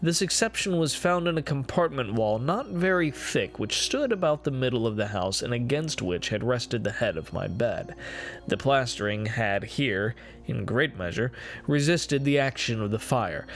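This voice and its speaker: A man voicing a remorseful, perverse man confessing dark crimes in a solemn, theatrical reading of a gothic horror story. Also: medium pitch, animated, lightly acted. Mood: grave, recounting. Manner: solemn, deliberate, theatrical, formal.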